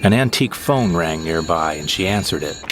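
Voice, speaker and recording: Guy playing deep voiced wry sidekick narrator, sci-fi comedy audio drama, clear noise behind him. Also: lively intonation, fairly quick. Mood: wistful, droll. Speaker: first-person narrator.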